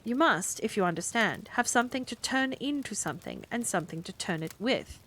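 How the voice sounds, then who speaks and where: A woman's voice: fairly high pitch, animated, a little fast, fairly bright tone. A woman voicing a prim, easily flustered middle-aged witch who snaps when pressed.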